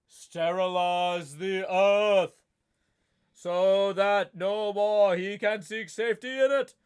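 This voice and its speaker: High-pitched slow old professor, smooth clear voice, grave and deliberate, read by a man. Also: darkish tone. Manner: measured, solemn, deliberate. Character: elderly scholarly professor leading vampire hunters. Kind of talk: dramatic reading aloud.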